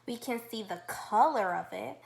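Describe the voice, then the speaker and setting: A woman's voice: a little high, expressive, moderate pace, gravelly, rough recording. A woman kindergarten teacher leading a read-aloud lesson, upbeat and instructive, asking guiding questions.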